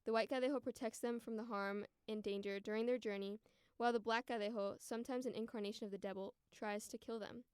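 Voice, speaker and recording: Young American woman, clear higher voice. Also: steady tone, fast, hardly any pauses, darkish tone, no room sound, studio quality. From United States. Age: around 20. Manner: informal, frequent "like" and "you know". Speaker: podcast guest. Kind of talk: casual conversational chat and storytelling.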